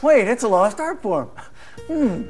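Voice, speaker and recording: A man's voice: high-pitched, sing-song, measured pace, darkish tone, faint music behind. A male comedy performer and impressionist talking casually in an interview, self-deprecating and emphatic.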